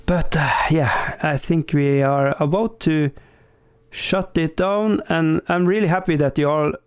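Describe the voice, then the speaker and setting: A young man speaking English, his voice sitting a little high for a man. He rambles casually to his livestream chat, stumbling over restarts and laughing at himself. The sound is close and dry, with no room echo. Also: unhurried, clear, resonant tone. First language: Swedish. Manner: rambling, self-deprecating, frequent stammered restarts and laughs. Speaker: livestream host.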